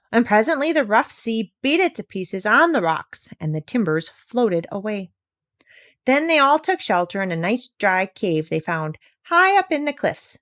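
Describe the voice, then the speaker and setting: A woman reading a children's story aloud in an expressive storybook style. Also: on the low side, no room sound, studio quality. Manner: expressive and animated. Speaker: narrator.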